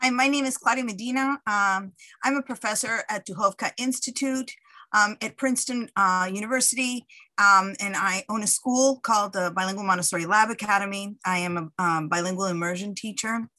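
A woman's voice: mid-range voice, brisk, pushed and bright, dry recording. A woman from Colombia who is a professor and school board member, introducing herself plainly with frequent "um". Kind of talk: podcast guest introduction.

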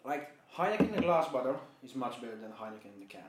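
A man's voice: medium pitch, animated, quick pace, heavy background noise. Finnish man chatting in an echoey room. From Finland. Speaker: podcast co-host. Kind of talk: casual chat over beer. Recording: echoey room, amateur recording.

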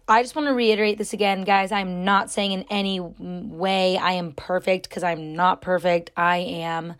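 A young woman with a raspy, somewhat deep voice hosting her own podcast, talking loudly and rambling with lots of fillers. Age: young adult. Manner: chatty, loud, rambling with many fillers. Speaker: podcast host. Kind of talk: casual solo podcast talk.

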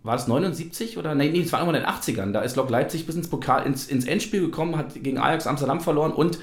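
A man's voice: fairly high pitch, racing. A man from East Germany hosting a casual football podcast, talking in a rambling, reminiscing way. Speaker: podcast host. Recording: roomy, echoey sound.

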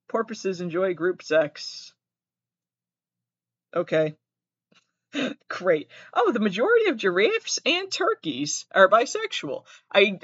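A man's voice: high-pitched, dry recording. Rambling Massachusetts man, dull dry recording. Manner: rambling, self-interrupting, jokey asides. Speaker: podcast host. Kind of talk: solo comedic trivia reading. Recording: dull, dry close-mic sound.

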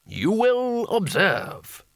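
A man's voice: high voice, huge pitch swings, extremely slow, gravelly, fairly bright tone, no echo, studio quality, pitched above the performer's own voice, exaggerated voice. A man voicing a jovial, boastful, portly older wizard in a storybook, with a hearty, patronizing manner. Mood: showy. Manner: hearty, patronizing, tags questions with "eh".

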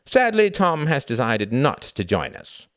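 A man's voice: mid-range voice, wildly swooping pitch, unhurried, a bit bright, no room sound, clean studio sound, pitched above the performer's own voice. A man voicing a haughty, spiteful, well-educated young upper-class brother in an audiobook, theatrical, sneering and condescending. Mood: smug. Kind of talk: audiobook character acting.

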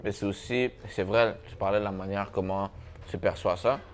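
A man's voice: lowish voice, fairly even intonation, normal speed. A young man hosting a casual podcast chat, laid-back and dropping English slang into his talk. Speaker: podcast host. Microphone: shared podcast mic.

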